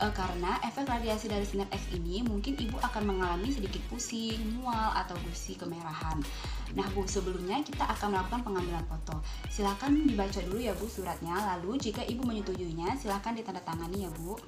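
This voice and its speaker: A woman's voice: medium pitch, fast talker. Young woman demonstrating in echoey room. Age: young adult. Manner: polite, procedural, step-by-step. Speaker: dental student demonstrating a clinical procedure. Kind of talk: instructional skills demonstration with role-played patient dialogue. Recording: roomy echo, music behind.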